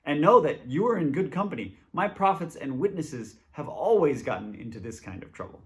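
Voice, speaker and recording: A man's voice: fairly high pitch, big pitch swings, a little fast. A man speaking as a church presenter, giving an informal introduction and reading scripture aloud.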